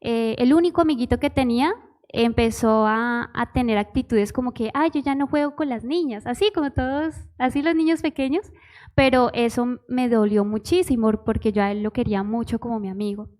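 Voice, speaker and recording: A woman's voice: fairly high pitch, brisk, darkish tone. A woman in her twenties with a Bogotá Spanish accent, giving her personal testimony in church in a shy, giggly, hesitant way. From Bogotá, Colombia. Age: 20s. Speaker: church musician, pastor's wife.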